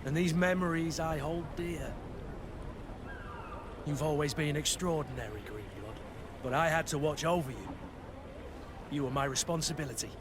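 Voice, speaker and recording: An actor playing a loyal, tender guardian in a video game, measured and earnest. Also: male voice, on the high side, background noise, lightly acted. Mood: tender, wistful.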